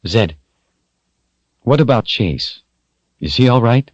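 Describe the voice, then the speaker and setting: A tormented young hero, as a deep-voiced male narrator puts him on, his voice lifted high and cracking with strain. He swings widely from tight restraint to raw outbursts. It is a dull, dry audiobook recording. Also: animated, slow, dry recording. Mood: concerned. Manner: strained, rising to anguished outbursts. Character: young man, a troubled sword-bearing hero.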